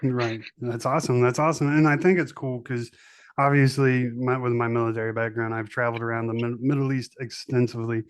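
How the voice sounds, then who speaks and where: A man's voice: mid-range voice, steady tone, fairly quick, clear, resonant tone, no echo. Host: middle-aged man, mid-range voice, dry close recording, keen and emphatic. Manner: enthusiastic, emphatic, repeats for stress. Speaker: podcast host. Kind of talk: casual interview chat.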